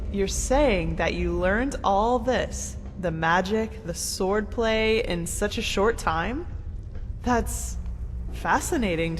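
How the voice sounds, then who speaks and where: A woman's voice: mid-range voice, noisy background. An actress playing a blunt, short-fused woman adventurer in a video game, talking in a casual, sardonic, foul-mouthed way. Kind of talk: video game dialogue acting. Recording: reverberant hall echo, music underneath. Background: game music.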